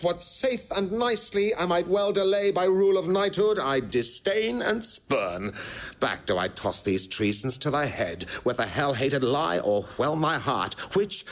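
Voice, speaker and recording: An actor playing a proud, scornful villainous nobleman with theatrical delivery in a classical Shakespearean audio drama. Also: on the high side. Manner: theatrical, declamatory.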